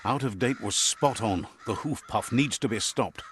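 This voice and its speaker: An actor voicing a wry, wise male storyteller, narrating a video game fable in a measured, theatrical way. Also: mid-range voice, a bit bright, a little hiss or hum, natural voice. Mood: wry, storytelling.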